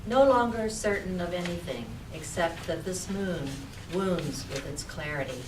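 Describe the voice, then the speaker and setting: A woman poet reading her own poem aloud at a live reading, in measured, deliberate phrases. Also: on the low side, lively intonation. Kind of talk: poetry recitation at a live reading. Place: public library reading room. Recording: roomy, distant mic, noisy background.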